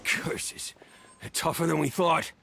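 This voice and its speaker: An actor playing a menacing, impatient street thug in a video game, taunting in gruff slang. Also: male voice, a little high, takes it slowly, pushed and bright, a little hiss or hum, exaggerated voice. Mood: rattled. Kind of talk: English video game voice acting.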